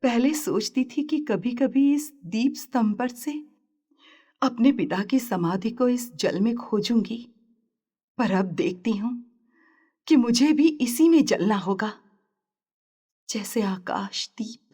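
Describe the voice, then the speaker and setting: An actress playing a dignified, sorrowful heroine torn in love, solemn and emotive, in an audio story. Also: female voice, fairly high pitch, slow pace, long pauses, warm tone, breathy edge. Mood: sorrowful resolve. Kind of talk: Hindi literary audio story drama.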